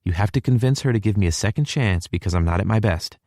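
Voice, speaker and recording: A man's voice: on the low side, lively intonation, fast talker, dark tone, no room sound, studio quality, pitched above the performer's own voice. A man voicing a nervous, flustered young woman. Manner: anxious, flustered.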